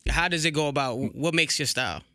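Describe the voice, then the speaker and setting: A man hosting a radio interview, talking in a hyped, slangy way and firing off quick questions. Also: a little high, bright, forceful tone, dry recording. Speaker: radio host. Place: radio station studio.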